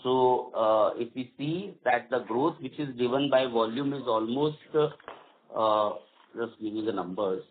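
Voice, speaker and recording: A man with an Indian accent answering as a company executive on an earnings call, halting and repeating phrases as he goes. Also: mid-range voice, slight background noise. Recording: dull lo-fi conference call audio.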